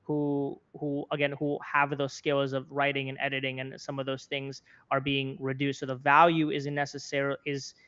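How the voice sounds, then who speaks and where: A man's voice: mid-range voice, completely flat delivery, normal speed, hardly any pauses, fairly bright tone, clean tone, lo-fi sound. A man in his twenties with an American accent gives conversational interview commentary, rephrasing his points earnestly as he goes. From Florida, United States. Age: 20s. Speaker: interview guest, content creator and journalist.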